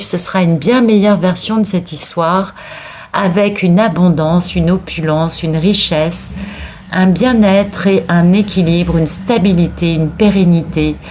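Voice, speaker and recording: A woman's voice: lowish voice, a little mellow, slight background noise. A woman with a cold giving a tarot card reading for viewers, talking in an encouraging way with frequent restarts and repeated words. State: has a cold, sneezing. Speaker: tarot reader.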